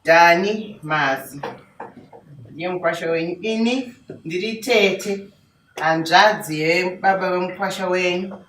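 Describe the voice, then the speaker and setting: A woman's voice: on the low side, measured pace, a bit bright. A woman with a Zimbabwean accent playing the groom's aunt, formally and respectfully introducing relatives in a dramatized marriage ceremony. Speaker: groom's family representative. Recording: echoey, distant mic, faint noise.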